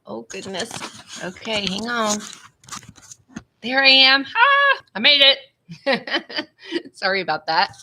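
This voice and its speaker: A woman with a drawl chats in a rambling, friendly way to her live-stream viewers, calling them "y'all." Her voice is bright and forward, a little nasal from congestion, and it is picked up by a small webcam at her home computer. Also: fairly high pitch, big pitch swings, slow, pauses often, a little hiss or hum, low-quality recording. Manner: chatty, rambling, says "y'all" often. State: stuffy, congested nose. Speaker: vlogger hosting a live stream.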